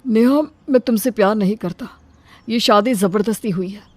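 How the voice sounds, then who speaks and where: A woman voicing a reluctant, earnest young man, a dutiful son, in an animated story. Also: mid-range voice, moderate pace, no room sound, lightly acted. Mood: regretful. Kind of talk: Hindi animated story acting.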